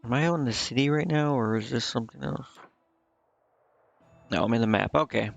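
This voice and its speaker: Gravelly-voiced man casually muttering through a game. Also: medium pitch, a little fast, no room sound, muffled recording. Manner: hesitant, muttering to himself as he thinks. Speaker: game streamer. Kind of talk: casual live game commentary, reading on-screen text aloud. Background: game music.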